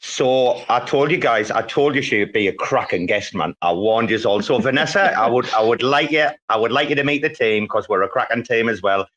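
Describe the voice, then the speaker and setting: A man's voice: medium pitch, a little fast, hardly any pauses, fairly bright tone. A man with a British accent hosting a casual chat in an enthusiastic, chatty way full of informal slang. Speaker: show host.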